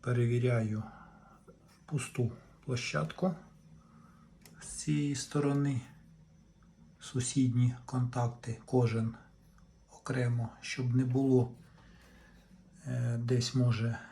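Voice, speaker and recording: A man talks through repair work step by step. His voice is dark and relaxed, at an ordinary pitch. He speaks methodically in short phrases, over a faint layer of background noise. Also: slow pace, pauses often. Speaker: repair tutorial host. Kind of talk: unscripted step-by-step hands-on instruction.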